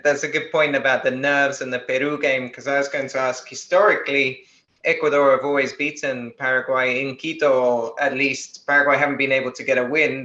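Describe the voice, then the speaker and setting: Bright, even-toned man in a roomy, muffled recording. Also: medium pitch. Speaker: podcast co-host. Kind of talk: analytical football interview talk.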